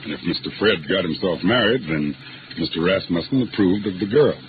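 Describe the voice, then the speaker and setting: A solemn, deferential fellow in a vintage radio play. His voice is low and his phrases are simple and halting. The recording is tinny and noisy. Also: animated. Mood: solemn, earnest. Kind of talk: 1950s radio drama acting.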